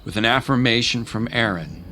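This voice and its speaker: A man's voice: mid-range voice, moderate pace. A man narrating a dramatic scripted story as an audio drama's storyteller. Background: loud music.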